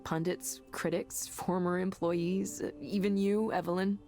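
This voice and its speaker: A woman's voice: fairly low pitch, little pitch movement, measured pace, dark tone, close to an everyday voice. A woman acting an earnest, idealistic tech worker in a visual novel game, speaking in a conversational, impassioned way. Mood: reflective, resolute. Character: earnest, idealistic woman defending her mental-health tech work. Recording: music behind the voice.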